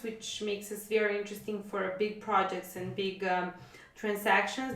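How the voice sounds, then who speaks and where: A woman's voice: medium pitch, a bit bright. A woman in her thirties from Serbia with a Serbian accent, chatting hesitantly with many fillers as a podcast co-host. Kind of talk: casual conversation. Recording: roomy echo.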